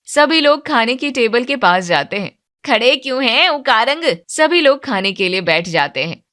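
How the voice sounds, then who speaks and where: A woman storyteller reads scripted moral-tale narration. Her voice sits at a medium pitch. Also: very animated, moderate pace, a bit bright.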